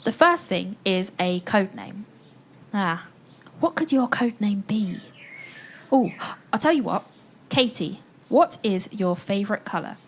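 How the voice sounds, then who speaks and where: A woman playing an adventurous storyteller in an audio play for children, addressing listeners directly with dramatic pauses. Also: medium pitch, expressive. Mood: inviting, intriguing.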